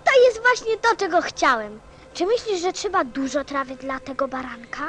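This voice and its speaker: Very high-pitched, curious little boy prince played by a woman. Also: lively intonation, normal speed, a little hiss or hum. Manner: earnest, childlike, insistent. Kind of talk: radio play acting.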